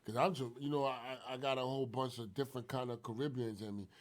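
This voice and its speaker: A man's voice: mid-range voice, steady tone, fast. A man in his forties, born in Haiti and raised in Brooklyn, talking casually as a guest in an interview and filling his speech with "you know what I'm saying". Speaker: guest filmmaker.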